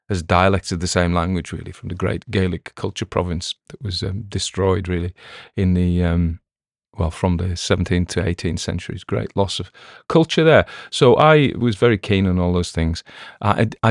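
A man from the Cumbrian coast talking in a rambling, halting way, full of fillers and restarts, while recovering from a cold. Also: low-pitched, dry recording, clean studio sound. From Cumbrian coast, England. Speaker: story reader and podcast host. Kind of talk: casual spoken commentary between readings. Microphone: headphones on, home recording.

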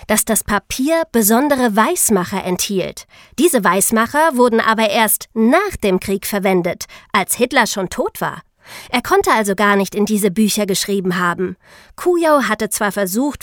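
Young woman podcast reporter actress playing her, explaining crime history like scripted chat, mid pitch, dry studio. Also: fairly bright tone, no echo, natural voice. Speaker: podcast co-host telling true-crime history. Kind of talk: scripted conversational narration.

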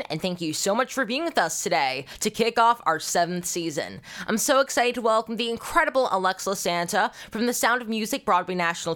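A man's voice: high-pitched, sharp tone. A young American man in his teens with an earnest, enthusiastic manner, hosting a show with a scripted introduction. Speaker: show host.

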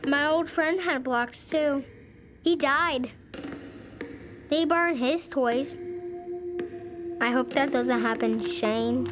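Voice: high voice, slow pace, long pauses, music in the background. An actor playing an eerie, polite little boy from down the street in an audio drama. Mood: eerily matter-of-fact. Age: child. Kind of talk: audio fiction acting.

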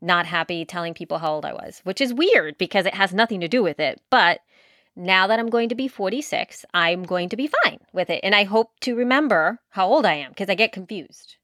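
Dry close recording, woman in her forties, mid pitch, casual co-host, emphatic, searching for words. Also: lively intonation, brisk, a bit bright, no echo. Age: 40s. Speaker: podcast co-host. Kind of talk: casual, reflective chat.

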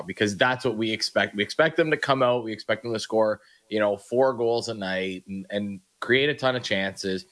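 A man's voice: lowish voice, a bit bright. A man co-hosting a sports radio show, talking casually and restarting his sentences often as he argues his points.

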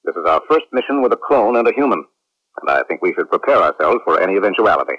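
A man's voice: low-pitched, big pitch swings, fast. A man acting a cautious, worried colonel in a 1970s radio drama, respectful, earnest and wary. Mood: cautious, concerned. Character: cautious, worried male colonel heading clone program. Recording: muffled 1970s radio recording.

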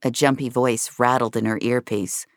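A woman's voice: extremely low pitch, steady tone, moderate pace, noisy background. A woman narrating scripted science-fiction drama with a wry, noir storytelling manner.

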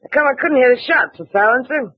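A woman's voice: sits high, fast talker, no room sound. An actress playing a tough, blunt middle-aged woman who runs a roadside bar, in a 1940s radio drama with a dull old broadcast sound. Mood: wry. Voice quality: husky, bossy, blunt.

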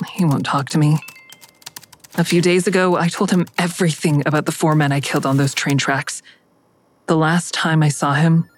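A woman's voice: low-pitched, natural voice. An actress playing a troubled, self-critical woman who narrates her own story in an audio drama, terse and matter-of-fact. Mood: regretful. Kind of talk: first-person audio drama narration, present tense.

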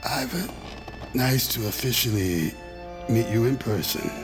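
An actor playing a weak but calm man freed from a long coma in an audio drama, terse and matter-of-fact. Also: mid-range voice, measured pace, music in the background, lightly acted. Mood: weary, calm. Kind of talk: immersive audio drama acting.

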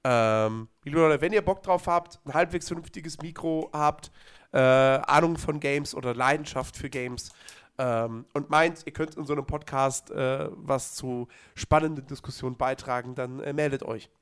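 Male podcast co-host, hoarse from a cold, rambling, casual chat. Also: medium pitch, normal speed, fairly bright tone. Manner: rambling, many fillers and restarts.

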